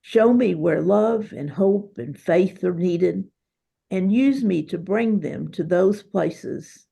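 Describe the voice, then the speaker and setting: A woman, a religious sister, reading a prayer aloud in measured phrases. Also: lowish voice, expressive, vocal fry, mellow, husky. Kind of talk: slow read-aloud prayer.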